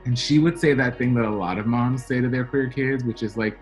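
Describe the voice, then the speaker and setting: A man being interviewed as a guest, telling personal stories in a chatty, animated way. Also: medium pitch, fairly quick, soft background music, rough recording. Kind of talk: casual interview talk.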